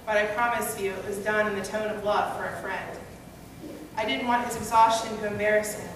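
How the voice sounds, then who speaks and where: A woman's voice: mid-range voice, steady tone, brisk. Woman preaching in an echoey church. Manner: storytelling, earnest and conversational. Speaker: pastor. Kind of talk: first-person dramatic monologue sermon as Peter. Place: church sanctuary, at a pulpit. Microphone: gooseneck pulpit mic, far camera. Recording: echoey hall, distant and noisy.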